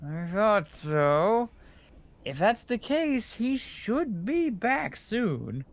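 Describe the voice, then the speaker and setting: A man's voice: sits high, big pitch swings, extremely slow, exaggerated voice. A man playing an anxious, earnest young male detective in an amateur video game fandub. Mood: determined.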